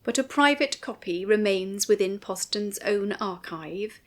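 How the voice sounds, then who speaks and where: A woman reads a prepared commentary as narrator. Her voice sits at a medium pitch for a woman. The delivery is formal and measured, with carefully shaped phrases. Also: animated, a bit bright. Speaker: writer and narrator of a music commentary. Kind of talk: scripted narration.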